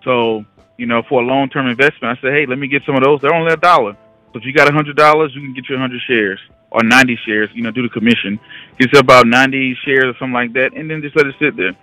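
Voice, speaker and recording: A man's voice: medium pitch, quick pace, sharp tone, no room sound, soft background music, poor audio. A man talking casually and in a rambling way as a guest investing educator on a conference call. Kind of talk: casual conversational talk.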